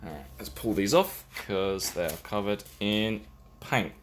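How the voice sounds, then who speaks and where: A man's voice: on the low side, expressive, measured pace. A man chatting casually on a live stream while painting and building a case, rambling and self-deprecating as he works. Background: faint music.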